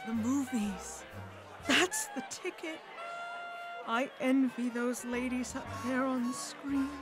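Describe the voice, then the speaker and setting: A woman playing a vain, fading stage actress in an audio drama, theatrical and deliberate. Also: high-pitched, over music. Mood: wistful.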